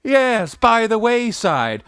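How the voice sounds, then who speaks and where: A man acts out a flustered young gent masquerading as somebody's aunt in a stage farce. The voice is high and swoops about theatrically, indignant and quick to protest, recorded dry and close. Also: very animated, a bit bright, clean tone, no echo, exaggerated voice. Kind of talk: Victorian farce radio acting.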